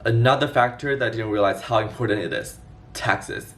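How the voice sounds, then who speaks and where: A man in his twenties from China giving a scripted explainer vlog in a clear, organized way. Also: mid-range voice, fairly quick, a bit bright, far from the mic, slight background noise. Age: 20s. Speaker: vlogger.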